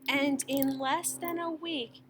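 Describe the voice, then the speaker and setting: A woman reading a children's story aloud as an expressive storyteller. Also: sits high, slow, very noisy.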